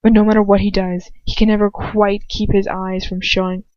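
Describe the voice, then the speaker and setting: A woman's voice: mid-range voice, little pitch movement, moderate pace, a little mellow, no echo, lo-fi sound. A woman reading first-person fiction aloud as an amateur narrator, in a dramatic style. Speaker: amateur audiobook reader.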